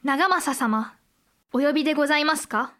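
A woman playing a shrewd, composed young noblewoman in a Japanese period audio drama, speaking formal archaic language with measured assurance. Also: high voice, sharp tone. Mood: composed, assured.

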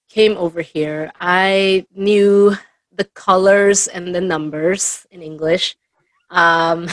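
A woman's voice: mid-range voice, no echo, low-quality recording. A woman with Spanish as her first language, from Peru, chatting over a video call as an interview guest and telling stories with lots of fillers. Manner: chatty storyteller, many fillers. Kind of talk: casual interview answers.

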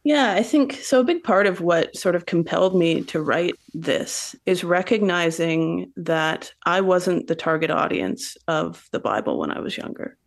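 Woman, lots of fry in her voice, writer being thoughtful and literary. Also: lowish voice, big pitch swings, a little slow, hardly any pauses. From Canada. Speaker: poet and novelist guest. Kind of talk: thoughtful interview talk and poetry reading.

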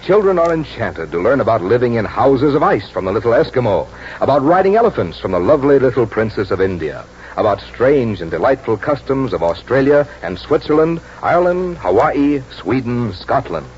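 A man's voice: medium pitch, big pitch swings, brisk, a little mellow. A polished male announcer on 1940s radio, selling with enthusiasm. His mid-range voice swoops expressively, heard through a dull recording with faint noise. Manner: polished, enthusiastic sales delivery. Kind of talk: scripted 1940s radio advertising read. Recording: dull, noisy vintage radio broadcast.

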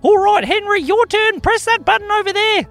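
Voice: extremely high pitch, moderate pace, fairly bright tone, no echo, soft background music, voice pushed up. A storyteller voicing a playful, mischievous male tiger in a children's story, exclamatory, gleeful and bossy. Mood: eager, bossy.

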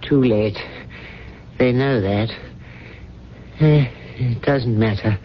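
An actor playing a grave, devoted, ailing butler with a stiff, formal, halting manner in a radio mystery drama. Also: male voice, medium pitch, natural voice. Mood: weary, resigned. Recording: muffled, noisy vintage radio broadcast.

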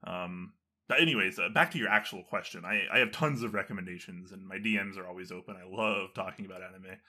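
Bright-voiced man chatting about shows. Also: low-pitched, fast. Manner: enthusiastic, many fillers. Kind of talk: casual conversation.

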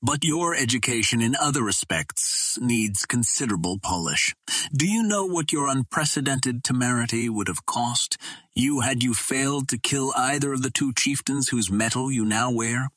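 A man voicing a stern green Martian warrior chieftain in an adventure storybook, formal and measured, with a husky voice. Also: medium pitch, animated, a bit bright, dry recording. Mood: quizzical.

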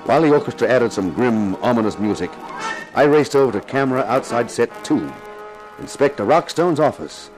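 Male actor as intense TV detective, 1950s radio drama style, deliberate, theatrical, mid-pitched, swooping delivery. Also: expressive, music in the background, close to an everyday voice, poor audio. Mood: tense, confiding. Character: intense television-detective actor, grave narrator turned relentless questioner. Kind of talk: 1950s radio drama acting, first-person crime narration.

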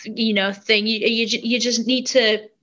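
Soft-spoken young Armenian woman. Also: a little high, fairly even intonation, muffled recording. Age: young adult. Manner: halting, searching for words, many restarts. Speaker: singer. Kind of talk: casual interview.

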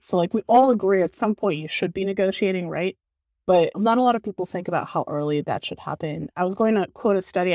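A woman's voice: on the low side, darkish tone, no echo. A woman from Bulgaria, a guest in an interview, talking earnestly in a casual way with lots of "like". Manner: earnest, many fillers like "like". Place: San Francisco.

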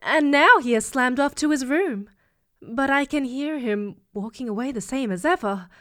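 A woman's voice: sits high, moderate pace, bright, forceful tone. A woman playing a fretful, deferential working-class Victorian housekeeper in an audio drama, anxious and rambling as she talks. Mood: worried.

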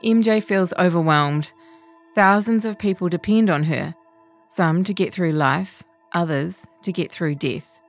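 A woman's voice: fairly low pitch, measured pace, faint music behind. A female reporter reading her own long-form feature as scripted narration.

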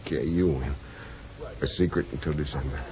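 A man playing an eager, insecure, devoted young fiancé with an earnest, halting delivery in 1950s radio drama style. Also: very deep voice, steady tone, mellow. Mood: tender, devoted. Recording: dull 1950s radio recording.

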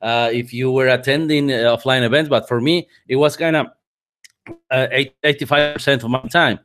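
Man, 40s, native Spanish speaker, halting English with repeated words, remote interview guest, medium-pitched voice. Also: steady tone, fairly bright tone. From Spanish-speaking country. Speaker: guest, head of communications. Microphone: remote call microphone.

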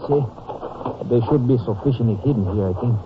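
A man's voice: mid-range voice, brisk, lightly acted. A man acting a courteous, earnest Mexican police officer with a Mexican accent and formal, careful phrasing in an old-time radio drama. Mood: watchful. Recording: noisy, narrow-band old radio recording.

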